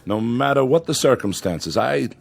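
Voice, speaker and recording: An actor playing a fawning, greedy middle-aged son-in-law in a radio drama, with an unctuous, pompous way of talking. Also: male voice, mid-range voice, expressive, normal speed, vocal fry. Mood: flustered, smug.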